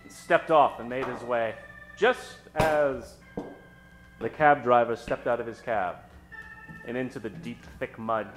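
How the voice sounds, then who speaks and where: A man's voice: medium pitch, unhurried, pauses often. A man narrating an old-time radio comedy as a storyteller. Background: music.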